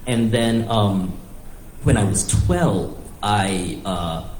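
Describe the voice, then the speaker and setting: A man's voice: lowish voice, very slow, a little mellow. An American man telling personal stories casually on a panel, interrupting himself as he thinks aloud. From northeastern United States and Thailand. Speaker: comics writer on a panel. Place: live panel event in a room. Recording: echoey room with faint background noise.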